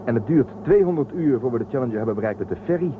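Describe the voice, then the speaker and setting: Telsen, a cautious, methodical spaceman who works everything out step by step, in a radio play, played by an actor. His mid-pitched voice comes through a narrow, phone-like band over a steady hiss. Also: very fast, natural voice. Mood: calculating. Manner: methodical, calculating. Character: cautious man crew member Telsen, methodical. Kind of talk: radio drama acting. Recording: muffled phone-like sound with loud hiss.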